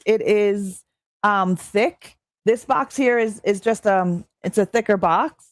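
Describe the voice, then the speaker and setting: A young adult woman streaming from Canada, chatting casually with her viewers in an excitable, filler-heavy way, with a slightly strained voice. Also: medium pitch, dry recording. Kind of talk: casual live-stream chat reading chat.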